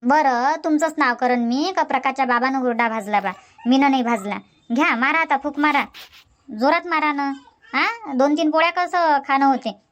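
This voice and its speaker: A woman voicing a bickering, teasing old village grandmother in a cartoon comedy, with a Varhadi Marathi accent and quick nagging comebacks. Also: high-pitched, close to an everyday voice. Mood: bossy, bickering.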